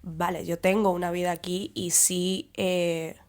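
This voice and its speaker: A young woman from Venezuela with a Venezuelan Spanish accent, talking through her thoughts in a relaxed podcast chat, with pauses and restarts. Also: lowish voice. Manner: reflective, thinks aloud with fillers and restarts. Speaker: podcast guest. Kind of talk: casual conversation.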